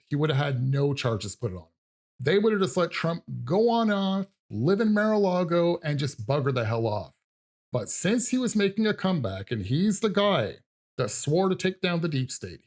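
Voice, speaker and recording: Animated sarcastic male commentator. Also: fairly high pitch. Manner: sarcastic, emphatic. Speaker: commentator reacting to clips. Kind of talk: mocking political commentary.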